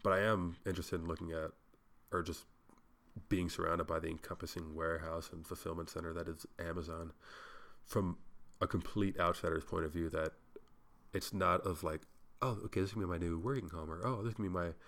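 A man's voice: deep voice, steady tone, brisk, fairly bright tone. A young man hosting a solo podcast, rambling casually and swearing, sounding a little congested. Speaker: podcast host.

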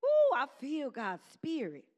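A woman preaching a sermon to her congregation in a conversational style, with quick call-and-response asides to the church. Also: sits high, wildly swooping pitch, a little mellow, dull audio. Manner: says she has a strong voice.

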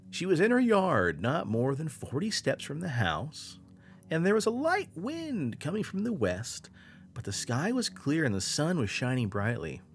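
A man hosting a podcast, telling strange stories in a casual, animated way and laughing often. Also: mid-range voice. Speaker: podcast host. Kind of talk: casual storytelling podcast chat.

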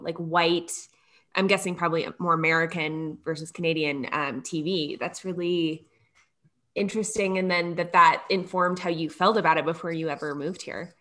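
A woman's voice: fairly low pitch, a bit bright. A woman co-hosting a casual interview, reacting to her guest with reflective, enthusiastic comments. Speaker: co-host.

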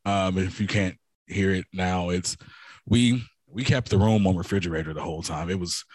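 A man with a hoarse, raspy voice from a cold, giving a rambling testimony to a church congregation with many restarts. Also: low voice, normal speed.

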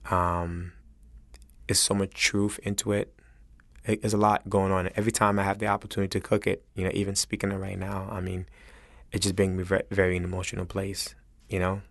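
Man, West African accent, low voice, narrow intonation, halting, earnest interview talk, dry close sound. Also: dry recording. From Senegal. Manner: earnest, halting, searching for words. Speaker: chef interviewed as guest.

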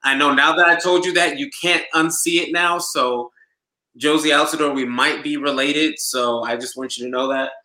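A man's voice: fairly high pitch, fairly even intonation, moderate pace, pushed and bright, reverberant. A man chatting casually on a podcast as a show regular, laid-back and enthusiastic, with lots of fillers and restarts. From United States, Nigerian heritage. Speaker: supporter group DJ and show regular.

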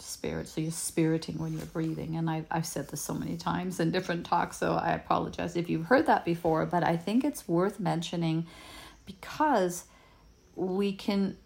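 A woman whose first language is Danish, giving a gentle, unhurried talk as a healing teacher, often repeating words as she searches for them. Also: lowish voice, steady tone, creaky. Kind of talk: reflective spoken talk.

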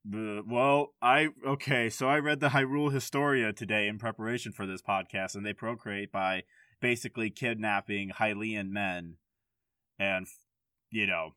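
A man's voice: on the low side, steady tone, moderate pace, pushed and bright, clear, resonant tone, no room sound. A man speaking frankly and casually as a podcast host, swearing now and then.

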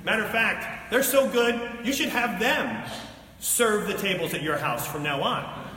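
A man's voice: high-pitched, animated, measured pace, sharp tone. A man preaching in an informal, colloquial way, telling a story and explaining it with asides. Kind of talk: sermon, conversational storytelling and teaching. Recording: echoey hall, distant mic, faint noise.

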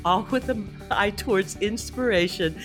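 A woman's voice: medium pitch, moderate pace, over music. Chatty woman podcast host with a head cold. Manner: chatty, upbeat, laughs often. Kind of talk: casual astrology chat.

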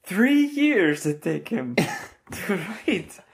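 A performer playing a pompous ancient Egyptian librarian and general with a mock Italian-sounding accent and broken, slow, repetitive grammar. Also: medium pitch, very animated, gravelly, heavily acted. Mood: stern. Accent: mock Italian-sounding Middle Eastern accent. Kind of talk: improv comedy.

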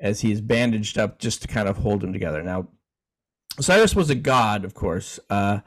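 A man co-hosting a podcast in a relaxed, chatty way, reading definitions aloud and chuckling now and then. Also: fairly low pitch, normal speed. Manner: easygoing, chuckling, frequent restarts. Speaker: podcast co-host.

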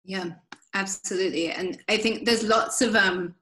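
Woman hosting a panel, chatty, keeps restarting her sentences, middle pitch. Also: normal speed, a bit bright. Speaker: moderator. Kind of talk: moderating a panel.

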